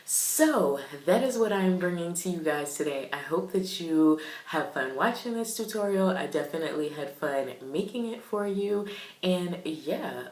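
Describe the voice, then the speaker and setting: Young woman, stuffy nasal cold voice, raspy, low pitch, echoey room, chatty makeup tutorial. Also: a little mellow. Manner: chatty and upbeat, frequent "um" and "super". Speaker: beauty vlogger. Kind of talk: casual makeup tutorial chat.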